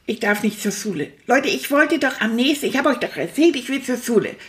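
A woman's voice: fairly high pitch, brisk. Manfred, a chatty toy rat voiced by a woman. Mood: annoyed. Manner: excitable, impatient, chatters on, stretches words. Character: boastful chatty male toy rat, childlike. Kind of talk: children's audio show monologue.

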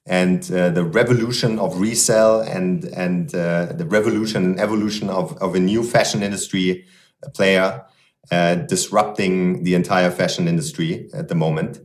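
Low-voiced guy hosting a podcast, lots of uhs and restarts, sounds like a roomy echoey space. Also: steady tone, moderate pace. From Germany. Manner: hesitant, many fillers and restarts. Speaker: podcast host. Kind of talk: podcast interview. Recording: roomy echo.

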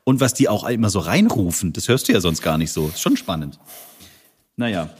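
A man's voice: sits low, very animated, husky. Sarcastic Swabian man hosting a chat. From Swabia, Germany. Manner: sarcastic, joking, quick asides. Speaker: podcast host. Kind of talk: casual chat.

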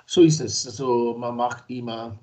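A man's voice: medium pitch, fairly even intonation, unhurried, darkish tone. A middle-aged man from Nigeria, a podcast guest talking casually and hesitantly, with many fillers and restarts. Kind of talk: casual interview talk.

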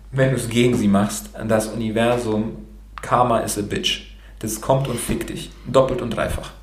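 A man's voice: on the low side, slight background noise. Man with a rolled R, echoey room. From Romania, raised in Germany. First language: Romanian and German, bilingual. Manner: frank, with casual swearing and many fillers. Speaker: podcast host being interviewed. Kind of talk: casual motivational talk.

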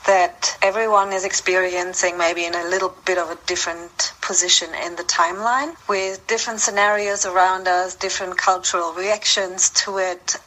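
A woman's voice: fairly low pitch, sharp tone, poor audio. A woman leaving an informal spoken message, thinking aloud as she lists her ideas.

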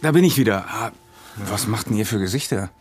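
An actor playing a calm friend who takes charge in a naturalistic audio drama, measured and conciliatory. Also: male voice, on the low side, soft background music, lightly acted. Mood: composed. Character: Vincent, calm placating male friend taking charge.

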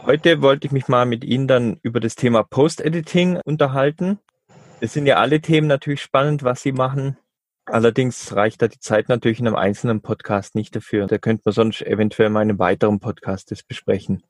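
A male podcast host asks friendly, measured questions at an even, mid-range pitch. His voice is close to the mic and sounds dry, with no room around it. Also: no room sound. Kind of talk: interview questions.

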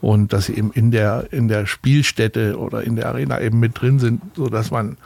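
A man's voice: mid-range voice, steady tone, brisk, creaky, a little mellow, no room sound. A man in his sixties from Berlin, a guest telling chatty stories in an interview, with many fillers and restarts. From Berlin, Germany. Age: 60s. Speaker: arena manager, guest. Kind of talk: relaxed interview talk.

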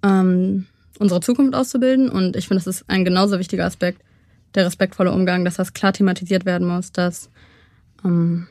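A young woman from Germany, a guest in an interview, speaking in a thoughtful, measured way with frequent pauses to find her words. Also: lowish voice, steady tone, a little mellow, no echo, clean studio sound. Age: young adult. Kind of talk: reflective interview talk.

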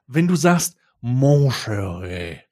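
A man's voice: mid-range voice, very animated, slow, dark tone. A man hosting a podcast in casual chat, chatty and hesitant, recorded over a remote call. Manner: chatty, hesitant, laughs easily. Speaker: podcast host.

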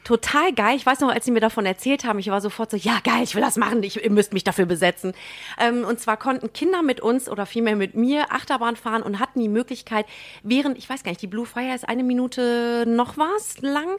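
A woman's voice: fairly high pitch, fairly quick. A young woman from Germany, a guest in a radio studio, talking in a bubbly, enthusiastic way with frequent laughs and slang. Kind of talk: casual interview chat. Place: radio studio in a theme park.

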